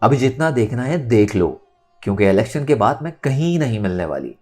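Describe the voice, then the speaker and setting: A man voicing a defiant, sharp-tongued young woman in a storybook, with taunting emphasis. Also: mid-range voice, big pitch swings, brisk. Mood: cool, bitter. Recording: music under the voice.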